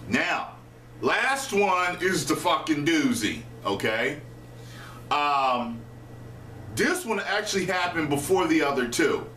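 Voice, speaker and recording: A man in his thirties telling a story to camera in a casual, animated, profane way, with slang, in a small home kitchen. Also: fairly high pitch, takes it slowly, distant mic. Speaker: vlogger. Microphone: camera a few feet away. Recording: roomy echo, voice a bit off-mic, faint hiss.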